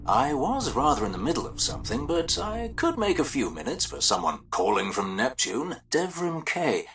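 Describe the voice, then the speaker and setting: A man playing a polite, reserved gentleman in a video game, courteous and understated. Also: a little high, huge pitch swings, moderate pace, reverberant, distant mic. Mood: polite bemusement. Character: polite, reserved gentleman on Earth answering a radio call.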